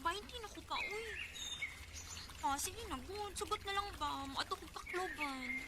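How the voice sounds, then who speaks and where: A woman's voice: very high-pitched, a little slow, very noisy. A Filipino woman with a Bisaya accent playing an eager, chatty young daughter who sweetly pleads in a radio drama. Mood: pleading. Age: young adult.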